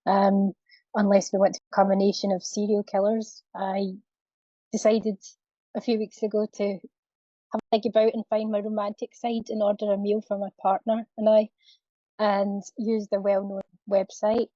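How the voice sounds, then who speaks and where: Woman co-hosting a webinar, wry little story, even tone, dull call audio. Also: mid-range voice, moderate pace, no room sound. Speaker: co-presenter. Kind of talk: anecdote in a webinar.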